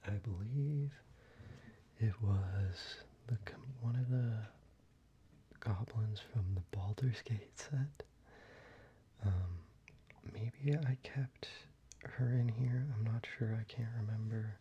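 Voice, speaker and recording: Soft whispering man, very dark mellow tone. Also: fairly low pitch, takes it slowly, long pauses, breathy edge. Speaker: ASMR video creator. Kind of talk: soft-spoken ASMR, casual card-collection show-and-tell. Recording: muffled, with background hiss.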